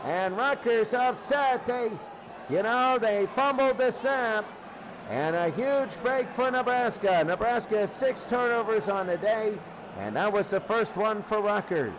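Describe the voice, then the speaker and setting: A man calling football play-by-play live in an excitable, exclamatory way, often repeating phrases. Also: high-pitched, a little slow, background noise. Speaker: play-by-play sports commentator. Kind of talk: live American football commentary.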